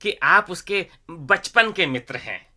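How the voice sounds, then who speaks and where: A man's voice: high-pitched, animated, slow pace, sharp tone, faint music behind, exaggerated voice. A man playing a dutiful household servant in a cartoon story, deferential and earnest. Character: dutiful household servant reporting to his master. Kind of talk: Hindi cartoon story acting.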